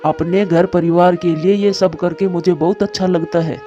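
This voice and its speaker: A man's voice: high-pitched, fairly even intonation, normal speed, mellow, highs cut off, soft background music, close to an everyday voice. A man voicing a caring, troubled adult male bird in a cartoon moral story, speaking earnestly and with plain drama. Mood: warm.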